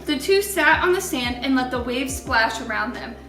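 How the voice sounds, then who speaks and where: High-pitched woman reading aloud, echoey room. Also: animated, normal speed, fairly bright tone, distant mic, faint music behind. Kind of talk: expressive read-aloud storytelling for children.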